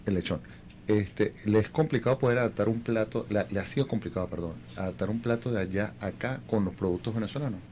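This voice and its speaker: A man's voice: fairly low pitch, fast talker, darkish tone, slight background noise. A man interviewing on a radio show, asking questions conversationally and tentatively, often repeating words as he forms them.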